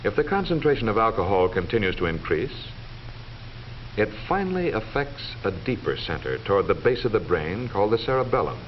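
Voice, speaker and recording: A middle-aged American man giving formal, measured, authoritative scripted narration in the manner of a 1940s educational film. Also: fairly low pitch, big pitch swings. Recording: dull vintage 1940s film soundtrack, faint noise.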